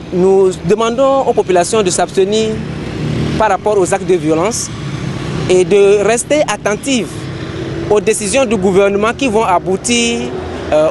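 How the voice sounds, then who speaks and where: A man with a Togolese accent giving a formal, earnest statement as a citizen, speaking haltingly and ceremoniously. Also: high voice. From Togo. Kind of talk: formal spoken statement to a microphone. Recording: clear outdoor street and traffic noise.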